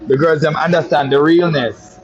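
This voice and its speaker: A man's voice: fairly high pitch, fairly even intonation, normal speed, dull audio. A Jamaican man with a boastful, flamboyant manner, telling a story in casual patois chat and calling listeners "my love". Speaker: social media personality.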